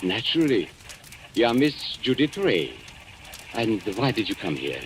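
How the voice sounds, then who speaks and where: An old radio mystery recording with some hiss carries a suave villain. His voice sits fairly low and swings with theatrical relish. He is polite and smug, a menacing shopkeeper who taunts as he blackmails. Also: big pitch swings, a little slow. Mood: coolly menacing. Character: suave, menacing male antique-shop owner and blackmailer.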